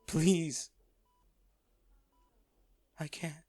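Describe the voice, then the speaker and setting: A man acting a hardened lover pleading at a comatose partner's bedside in a romantic audio roleplay, halting, intimate and earnest. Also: on the high side, steady tone, mellow, husky, dry recording, clean studio sound, heavily acted. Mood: grieving, broken.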